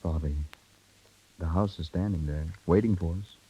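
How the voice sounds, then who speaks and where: An actor playing an awkward, lovesick young husband who pleads haltingly, in a muffled 1930s radio drama. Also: male voice, low-pitched, warm tone, breathy edge. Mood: pleading. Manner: halting, stammering.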